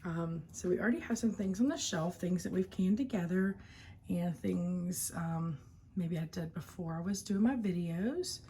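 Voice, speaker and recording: A woman with a Southern US accent chatting casually and informally to viewers as a home video host, her voice slightly hoarse and recovering. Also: on the low side, normal speed, mellow, a little hiss or hum. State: slightly hoarse after a cough and lost voice.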